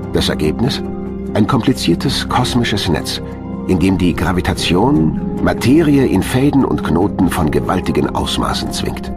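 A man reading scripted narration for a science documentary as a studio voice-over. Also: sits low. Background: background music.

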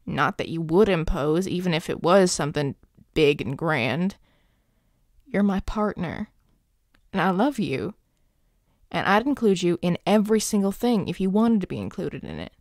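A woman acts out a grumpy werewolf boyfriend in an intimate audio roleplay. The voice is lowish and gravelly, recorded very dry. The delivery is halting, gruff and tender, addressed straight to a loved one. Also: very animated, a little mellow, no room sound. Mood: tender, reassuring. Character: grumpy, devoted young male werewolf boyfriend.

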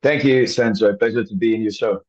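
A man's voice: fairly low pitch, brisk, darkish tone, muffled recording. A man from Finland with a Finnish accent, answering conversationally as an interview guest. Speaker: podcast guest, scientist.

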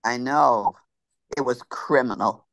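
A woman's voice: rumbling low, slow pace, dry recording, full character voice. A woman reading the part of a wry fellow churchgoer at a funeral, over a video call. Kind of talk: zoom radio-play reading.

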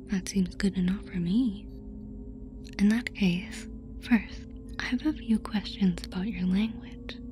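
A woman playing a curious, playful alien girl in a whispered ASMR roleplay, savouring and repeating words slowly. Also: medium pitch, a little mellow, a little hiss or hum, natural voice.

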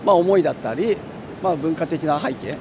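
Young man, fast and animated, earnest explainer. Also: a little high. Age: young adult. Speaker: interviewee. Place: city street outdoors. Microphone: handheld mic held by interviewer. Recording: street noise outdoors.

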